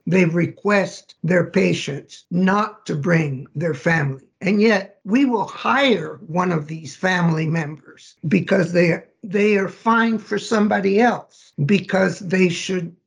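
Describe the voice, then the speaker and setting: A man's voice: high voice, creaky. A man answering interview questions, speaking earnestly and emphatically about professional translation.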